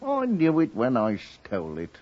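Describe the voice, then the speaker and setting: An actor playing a simple, remorseful condemned cattle thief with a husky voice in a 1950s radio drama. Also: male voice, mid-range voice, huge pitch swings, moderate pace. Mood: gloomy resignation. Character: doomed male cattle thief, resigned, simple, remorseful drinker. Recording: muffled vintage 1950s radio recording.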